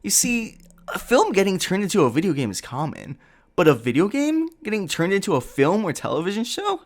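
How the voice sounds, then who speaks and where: Animated, higher-pitched man doing sarcastic commentary. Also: fairly quick. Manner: sarcastic, exasperated, profane. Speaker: video essayist. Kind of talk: comedic scripted commentary with casual asides.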